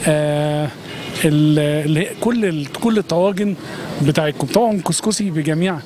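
A man's voice: a little high, a little fast. A middle-aged Egyptian man with an Egyptian Arabic accent, talking emphatically and effusively in a street interview at night. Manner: effusive, emphatic, swears by God often. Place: city street at night, outdoors. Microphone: handheld reporter mic. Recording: outdoor street noise.

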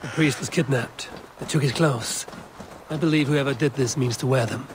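A man's voice: mid-range voice, moderate pace, noisy background, lightly acted. Man playing a terse Viking warrior. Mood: resolute. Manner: terse, commanding. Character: steady, watchful Viking warrior man investigating trouble. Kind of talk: video game acting.

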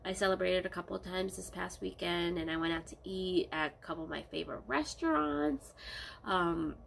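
A woman's voice: lowish voice, moderate pace, vocal fry, slight background noise. Congested woman chatting to camera. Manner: rambling, many ums, relaxed diary talk. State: congested, stuffy-nosed from a cold. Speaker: vlogger. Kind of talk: casual vlog chat. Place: small bedroom, indoors.

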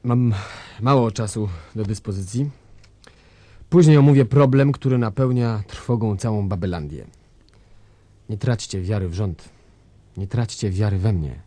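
An actor playing a bombastic dictator general, delivering pompous, declamatory political oratory in a theatrical style. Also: male voice, on the low side, measured pace, long pauses, mellow, no room sound. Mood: grave, solemn. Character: bombastic male dictator general rallying his nation.

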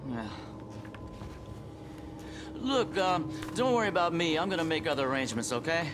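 A weary, jumpy male gunsmith from a video game, wry and kind. He speaks haltingly, with wide swings of pitch, over clear background noise. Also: sing-song. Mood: weary, evasive. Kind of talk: video game cutscene acting.

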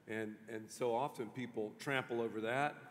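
Animated pastor on a church stage. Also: male voice, medium pitch, moderate pace. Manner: animated, many restarts, gestures with hands. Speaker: pastor and interviewer. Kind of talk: onstage church interview. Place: large church stage before an audience. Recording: long hall reverb.

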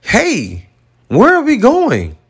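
Man acting excitable high-pitched young college guy. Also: slow pace, breathy edge, full character voice. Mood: uneasy. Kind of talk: amateur radio drama acting.

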